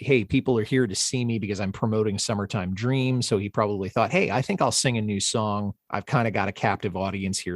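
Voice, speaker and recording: A man with a mid-range voice hosts a music discussion. He talks in a conversational, explanatory way. The sound is dry and close, free of room echo. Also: medium pitch. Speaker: podcast host.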